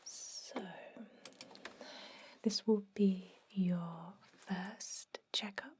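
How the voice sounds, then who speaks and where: A woman playing a caring, centuries-old vampire dentist in a breathy, soothing whisper for an ASMR roleplay. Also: medium pitch, steady tone, heavily acted. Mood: reassuring. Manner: soothing, gently chatty, unhurried.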